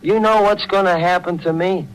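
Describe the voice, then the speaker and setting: A man's voice: sits high, fairly even intonation, normal speed, smooth, natural voice, poor audio. An actor playing a tough, suspicious young street guy with a hot temper and slangy, snappish talk in 1940s radio drama style. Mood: scornful. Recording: old 1940s radio recording.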